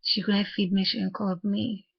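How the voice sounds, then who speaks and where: Young woman from Haiti, vlogger, flat hesitant delivery full of fillers, muffled lo-fi sound. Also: mid-range voice, creaky, breathy edge. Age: young adult. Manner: soft-spoken, halting, many fillers and restarts. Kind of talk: personal storytelling vlog. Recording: muffled lo-fi audio.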